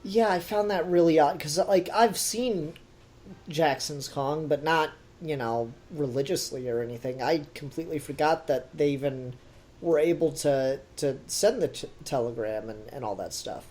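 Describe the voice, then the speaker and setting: A man's voice: a little high, normal speed, a little mellow. A man co-hosting a review podcast, talking casually and critically in an emphatic, opinionated way.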